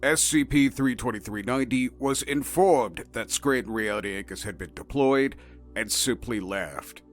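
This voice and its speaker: A man reads scripted narration as a formal, clinical report. His pitch sits in the middle range. Faint music plays behind the narration. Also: normal speed.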